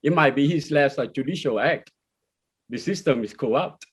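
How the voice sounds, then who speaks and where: A man's voice: mid-range voice, moderate pace, slightly rough. A man born in Laos and raised in Iowa, telling his own story in plain, informal speech with loose grammar. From born in Laos, grew up in Iowa. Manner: plain-spoken, non-standard grammar. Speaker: interview guest, former prisoner. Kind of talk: conversational personal account.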